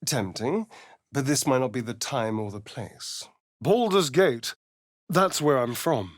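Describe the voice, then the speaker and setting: Sardonic vampire rogue, video game character, suave drawl, swooping intonation, unhurried. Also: male voice, mid-range voice, lively intonation, dry recording, studio quality. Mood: wry, dramatic. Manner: arch, drawling, theatrical.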